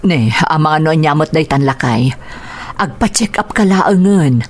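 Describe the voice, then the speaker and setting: A woman with an Ilocano accent playing a caring, long-suffering mother and wife in a radio drama, concerned and gently scolding. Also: sits low, lively intonation, a little slow. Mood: worried, scolding. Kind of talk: Filipino radio drama acting.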